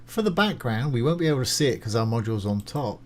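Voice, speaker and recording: A man with a mid-pitched voice presents a screencast tutorial in an informal, conversational way. He talks through each step as he does it, keeping things reassuring and simple. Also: big pitch swings, quick pace, slight background noise. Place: voice-over of a screen recording.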